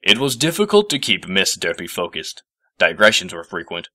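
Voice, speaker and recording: Man as a low-voiced, gruff, pompous professor. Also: huge pitch swings, normal speed, sharp tone, no echo, clean studio sound, heavily acted. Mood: grave. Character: gruff, pompous, grave older male professor.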